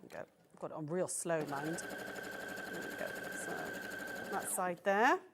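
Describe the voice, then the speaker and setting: A woman with a croaky voice from a cold, demonstrating quilting step by step on a live show. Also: on the low side, wildly swooping pitch, takes it slowly, very noisy. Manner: patient step-by-step instruction with frequent "okay?" checks. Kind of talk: sewing demonstration. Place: TV studio.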